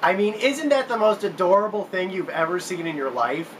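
A man's voice: sits high, brisk. A man in his twenties giving casual countdown commentary to camera as a video game reviewer, recorded in a room at home. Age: 20s. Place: small room at home, indoors. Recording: noticeable background hiss.

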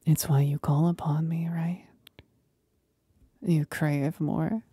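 A woman playing a seductive, mocking demon companion in a whispered ASMR roleplay, speaking breathily with deliberate pauses. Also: low voice, flat delivery, warm tone, breathy edge, no echo, studio quality, full character voice. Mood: tempting.

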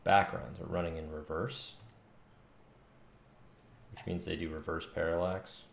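Very deep, gravelly man thinking aloud. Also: flat delivery, measured pace. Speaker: game developer streaming. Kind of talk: casual think-aloud livestream while coding. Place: small home room, indoors. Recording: roomy, faint noise, keyboard clicks.

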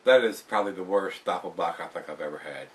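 Brief: middle-aged male vlog host, folksy beer talk, low voice, camera a little off. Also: quick pace, distant mic, a little hiss or hum. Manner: folksy, chatty, addresses viewers as guys. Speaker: beer reviewer, show host. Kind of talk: casual beer review vlog. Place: home room with glass shelves, indoors. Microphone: camera on tripod across the table.

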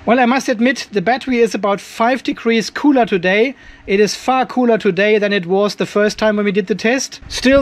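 An older man gives casual, chatty technical commentary for a video, explaining things informally step by step. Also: sits high. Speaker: host of off-grid DIY channel. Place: cluttered garage workshop, indoors.

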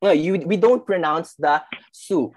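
A woman's voice: lowish voice, measured pace, a little mellow. A woman from the Philippines teaching beginner Japanese in a mock interview over a video call, patiently repeating phrases and encouraging her student.

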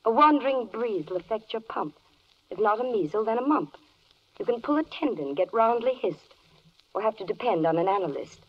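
Charming female advocate, poised, animated lyrical recitation, 1940s radio drama, muffled vintage audio. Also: a little high, normal speed. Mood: wry, reciting. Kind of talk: 1940s radio verse-play acting.

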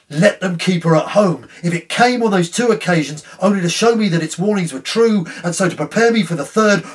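A man's voice: on the high side, pitched above the performer's own voice, natural voice. Man acting a tormented, anguished railway signalman. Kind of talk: dramatic short story reading. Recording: slightly distant room microphone.

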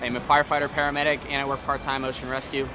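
A man in his twenties, a gym member, giving a quick, matter-of-fact testimonial in a gym. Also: medium pitch, flat delivery. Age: 20s. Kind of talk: promotional testimonial. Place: indoor gym by open garage door. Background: music.

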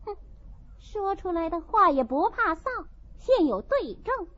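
A woman narrator plays a proud young mistress of a rich house in a classic-novel audiobook. She is scornful and cutting, with a high voice that swings widely. The recording is a little dull. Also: big pitch swings, measured pace, fairly bright tone, full character voice. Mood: indignant.

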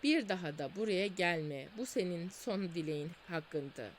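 A stern, magical golden fish from a storybook tale, as a woman narrator puts it on. It gives short, commanding orders in a lively, varied voice. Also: lowish voice, normal speed, slight background noise, lightly acted. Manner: curt, commanding.

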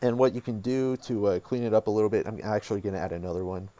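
A man with a somewhat low voice walks viewers through software as a tutorial host. His delivery is casual and informal, and he thinks aloud while he works. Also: fairly even intonation, fast.

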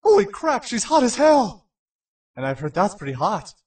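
A man voicing a snarky, excitable young male adventure hero in a parody cartoon, sarcastic and ranting theatrically. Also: very high voice, normal speed, breathy edge, no room sound, heavily acted. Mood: excited. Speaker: amateur voice actor in a parody series. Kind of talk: comedic abridged-series cartoon dubbing.

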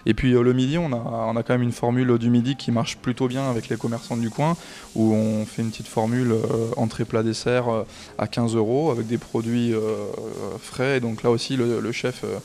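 A man's voice: mid-range voice, flat delivery, a little fast, a little mellow. A man in his thirties, a restaurant manager, answering questions on camera into a handheld mic in a small restaurant, hesitant with many fillers and restarts. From France. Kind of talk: on-camera interview. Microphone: handheld reporter mic.

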